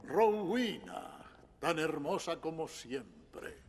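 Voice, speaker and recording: A man's voice: on the high side, very animated, extremely slow, creaky, soft background music, exaggerated voice. A man voicing a vain, scheming prince who speaks smugly and commands. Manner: smug, commanding. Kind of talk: cartoon dub acting.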